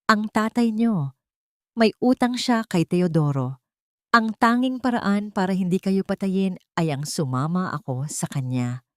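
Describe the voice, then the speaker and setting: A woman's voice: medium pitch, expressive, a little slow, dry recording, studio quality, close to an everyday voice. A woman voicing an ailing middle-aged mother in a drama story, weak, pleading and sorrowful. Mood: anguished confession.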